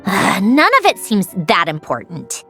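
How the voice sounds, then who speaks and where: A woman's voice: mid-range voice, wildly swooping pitch, slow, gravelly, pushed and bright, soft background music, heavily acted. A woman playing a proud young female genius mechanic in a video game, speaking with dry, precise self-assurance and a wistful streak. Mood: curious, smug. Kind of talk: scripted video game dialogue.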